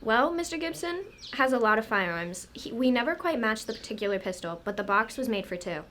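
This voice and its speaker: A woman's voice: fairly high pitch, very animated, fairly quick, a bit bright. A woman playing a chatty, deferential local police sergeant in an amateur radio drama, with a folksy manner. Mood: earnest, deferential. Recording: clear background noise.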